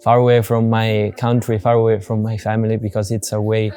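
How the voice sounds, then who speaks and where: Italian volunteer in his twenties, lowish voice, earnest testimonial over faint music. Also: completely flat delivery, fairly quick, warm tone. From Italy. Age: 20s. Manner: earnest, simple phrasing in non-native English. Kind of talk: interview testimonial.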